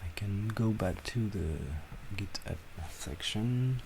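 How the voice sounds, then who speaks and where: A man talking through a software tutorial step by step, with halting pauses between instructions. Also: lowish voice, background noise. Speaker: tutorial presenter. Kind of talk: screen-recorded software tutorial.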